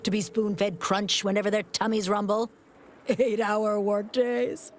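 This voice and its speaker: A woman's voice: mid-range voice, flat delivery, unhurried, slight background noise, close to an everyday voice. A woman voicing a greedy, penny-pinching corporate boss in a video game, pompous, theatrical and articulate. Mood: scornful.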